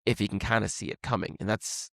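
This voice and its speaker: A man's voice: on the low side, fast talker, gravelly, fairly bright tone, no echo, studio quality. A man co-hosting a sports podcast, talking through the game in a casual, conversational way. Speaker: podcast co-host discussing tennis.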